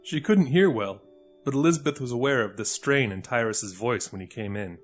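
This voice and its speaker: A man's voice: mid-range voice, moderate pace. Male story narrator over faint music. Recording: music under the voice.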